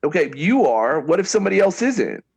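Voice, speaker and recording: A man's voice: a little high, big pitch swings, a little fast, gravelly, a little mellow, husky. A middle-aged man co-hosting a casual debate, pressing his point with questions and frequent restarts. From United States. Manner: questioning, restarts sentences often. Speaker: podcast co-host.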